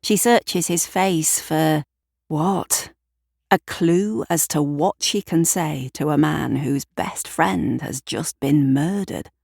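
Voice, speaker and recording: Low-pitched woman narrating drama, dry sound. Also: takes it slowly, gravelly, darkish tone, no room sound. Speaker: writer and narrator. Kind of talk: scripted audio drama narration.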